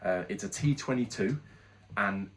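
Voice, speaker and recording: Congested British male host with a lower voice, talking casually and candidly through a product review. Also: a little slow, a little hiss or hum. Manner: chatty, candid, rambling with restarts. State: congested from a cold.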